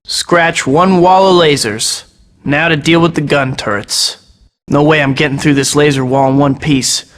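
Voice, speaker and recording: An actor voicing a young masked superhero in a video game, talking himself through each task with wry jokes. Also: male voice, fairly high pitch, fairly even intonation, measured pace, fairly bright tone, in a large hall. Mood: wisecracking. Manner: wry, joking asides to himself. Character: young male masked web-slinging superhero, wisecracking. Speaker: video game hero voice clips. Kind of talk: game voice-over lines.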